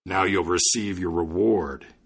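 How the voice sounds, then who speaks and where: A man voicing a huge, boastful spirit with a frightening, forceful, threatening and contemptuous delivery, as in a storybook. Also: sits low, steady tone, measured pace, gravelly, husky, pitched below the performer's own voice, heavily acted. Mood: imperious. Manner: threatening, imperious.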